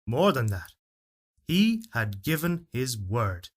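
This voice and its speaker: A man reading a literary fairytale as narrator, in a solemn, measured and expressive storytelling delivery. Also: medium pitch. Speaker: story reader. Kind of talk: dramatic literary narration of a first-person fairytale.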